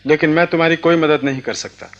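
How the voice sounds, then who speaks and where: A man's voice: fairly high pitch, fast talker, dull audio, lightly acted. A middle-aged actor playing a stern, condescending well-off father in a radio play, speaking in a curt manner. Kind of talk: Hindi radio play acting.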